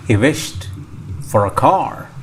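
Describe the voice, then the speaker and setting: A man reading a children's storybook aloud as scripted narration, measured and pausing between short phrases. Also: medium pitch, lively intonation. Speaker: storybook reader. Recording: clear background noise, ambience. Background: ocean ambience sound effects.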